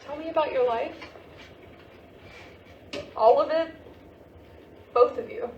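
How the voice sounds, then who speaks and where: A woman's voice: high-pitched, slow pace, highs cut off. A woman playing a grieving, searching American teenage girl in an emotional monologue at a staged play reading. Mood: earnest. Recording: echoey stage recording with faint noise.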